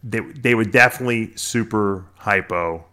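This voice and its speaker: American guy with a low punchy voice, chatty podcast guest, repeats himself to make a point. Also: normal speed, sharp tone. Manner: talkative, emphatic, repeats phrases. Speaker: podcast guest, bodybuilder and snake breeder. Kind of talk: casual interview, anecdotes and explanation.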